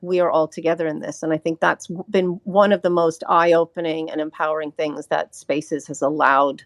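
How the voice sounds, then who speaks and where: An American woman who grew up speaking Ukrainian, a podcast guest talking in an earnest, halting way with frequent restarts. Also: deep voice, steady tone, dry recording. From United States. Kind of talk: reflective podcast talk.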